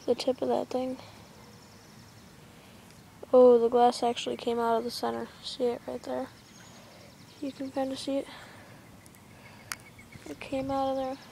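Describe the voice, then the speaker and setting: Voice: high voice, flat delivery, measured pace, vocal fry, pauses often, dark tone. Someone giving casual, offhand commentary on what they are doing, as an amateur filming outdoors. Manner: offhand, narrating actions as they happen. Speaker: amateur video maker. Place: outdoors in a yard by a street. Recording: noisy amateur camcorder audio.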